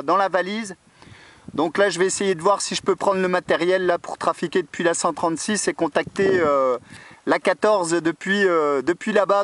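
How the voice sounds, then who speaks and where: A man's voice: sits high, fairly bright tone, no echo. A man working as an amateur radio operator, chatting over a radio contact and often tagging his phrases with small filler checks. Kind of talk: radio contact chat, spelling out call signs.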